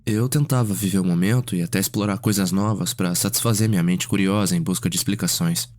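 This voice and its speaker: A man playing a curious, reflective vampire noble in an ASMR roleplay, telling his story in an unhurried way with pauses. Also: low voice, darkish tone. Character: Cedric, curious, reflective male vampire noble, younger brother. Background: music.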